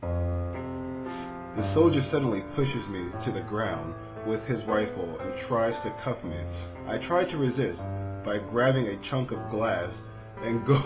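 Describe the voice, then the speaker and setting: A man's voice: fairly low pitch, animated, measured pace. A man hosting a let's play, commenting and reading a visual novel aloud into a microphone over game music. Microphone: dedicated microphone. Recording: loud game music behind the voice.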